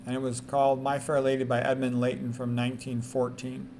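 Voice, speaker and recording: Male presenter, mid-pitched, reads quotes and adds informal, emphatic asides; some background noise. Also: completely flat delivery, fairly quick, dark tone. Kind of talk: reading quotes aloud with informal commentary.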